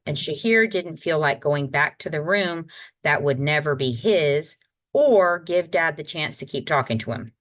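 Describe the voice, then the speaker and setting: Low-voiced woman reading a story. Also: fairly bright tone. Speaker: guest reader. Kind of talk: scripted narration, reading a children's novel aloud.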